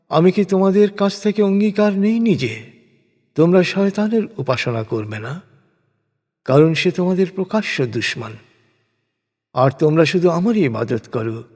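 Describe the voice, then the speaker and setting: Male narrator for sacred text: slightly high pitch, very unhurried, solemn and declamatory, reverberant hall sound. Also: darkish tone. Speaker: reciter of a scripture translation. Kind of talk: solemn scripted religious narration.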